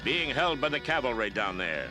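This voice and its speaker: An actor in an animated series playing an earnest, upright masked cowboy hero with a measured, heroic manner. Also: male voice, mid-range voice, music in the background, close to an everyday voice. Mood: grave.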